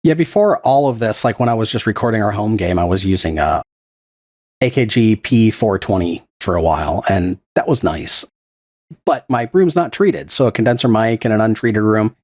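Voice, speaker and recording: Chatty man with a low voice. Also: normal speed. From Texas. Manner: relaxed, chatty, explains with frequent fillers. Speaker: podcast producer and game master. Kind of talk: casual interview chat. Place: untreated home room with bookshelves. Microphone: dynamic podcast microphone.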